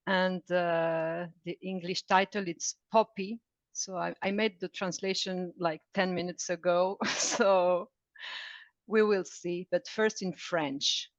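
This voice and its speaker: Slow-talking woman poet, dry lo-fi sound. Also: lowish voice, fairly even intonation, dry recording.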